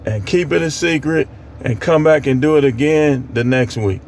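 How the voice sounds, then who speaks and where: A man's voice: on the high side, moderate pace, darkish tone, a little hiss or hum. An American man preaching informally on scripture in a plain-spoken, admonishing way, repeating phrases for emphasis. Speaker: street preacher.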